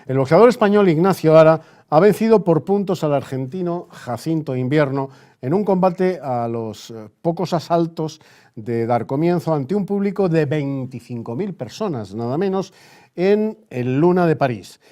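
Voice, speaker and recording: A man with an accent from Spain presenting a news bulletin like a 1930s radio newscast, halting and repeating phrases for emphasis. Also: fairly high pitch, expressive, mellow.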